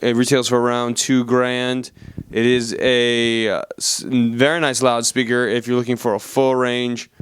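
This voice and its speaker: A man's voice: mid-range voice, flat delivery, measured pace, slight background noise. A man giving a casual voice-over product review, speaking as a reviewer to viewers.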